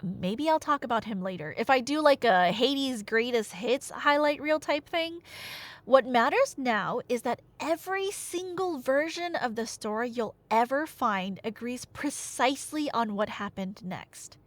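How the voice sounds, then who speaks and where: A high-voiced woman gives a casual, comic retelling of myth. Her pitch is very lively and she wanders into nerdy, slangy asides. The recording is dry and close. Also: unhurried, dry recording. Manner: nerdy, slangy, animated, self-interrupting asides. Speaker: audio roleplay performer and storyteller.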